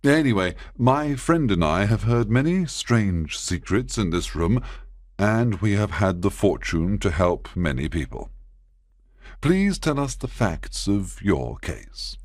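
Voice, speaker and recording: A man voicing a brilliant, confident detective in a storybook reading, explaining his deductions in a measured, slightly impatient way. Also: fairly low pitch, sing-song, no room sound, clean studio sound, full character voice. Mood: assured, explaining.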